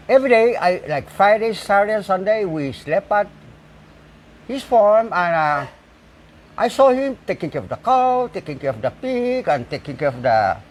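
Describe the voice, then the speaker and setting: Older man, lively halting speech. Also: sits high. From Saipan, Northern Mariana Islands. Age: 60s. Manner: halting, many fillers and restarts. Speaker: bull cart maker and farmer. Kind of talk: casual interview talk. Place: outdoors at his home.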